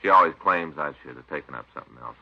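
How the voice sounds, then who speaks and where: Sheepish, gravelly, very deep-voiced detective. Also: male voice, racing, bright, forceful tone, heavily acted. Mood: sheepish, hesitant. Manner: hesitant, hedging, folksy. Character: sheepish married male detective, folksy and rambling. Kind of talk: 1950s radio drama acting. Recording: dull old radio recording.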